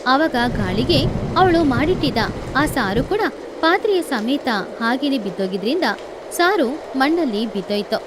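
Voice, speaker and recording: A woman's voice: sits high, lively intonation, normal speed, noisy background. A woman narrating a children's bedtime story as the storyteller. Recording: music behind the voice.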